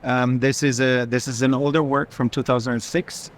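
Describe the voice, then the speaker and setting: A man's voice: medium pitch, flat delivery, moderate pace, slight background noise. A man in an unscripted walking conversation about art, often turning his statements into questions with a short tag at the end. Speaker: art institution director in conversation.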